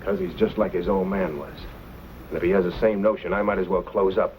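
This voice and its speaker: An actor playing a resentful, scheming saloon keeper in an old-time western drama, grumbling in a folksy way. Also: male voice, deep voice, little pitch movement, brisk, a little mellow, lightly acted, poor audio. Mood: bitter. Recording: hissy old radio-era recording.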